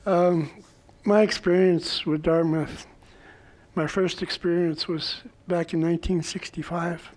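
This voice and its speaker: Panelist, male, soft voice, higher pitch, unhurried delivery. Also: fairly even intonation, rough recording. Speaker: alumni panelist. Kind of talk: personal recollection on a panel. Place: college event room.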